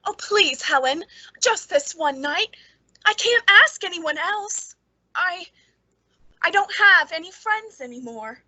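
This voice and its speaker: Radio suspense role, for an actress: frightened, brittle, lonely spinster with dark secrets. High, sharp female voice, dry sound. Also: animated, slow pace, no room sound, heavily acted, low-quality recording. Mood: frightened, pleading. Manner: nervous, intense, brittle. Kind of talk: old-time radio suspense acting.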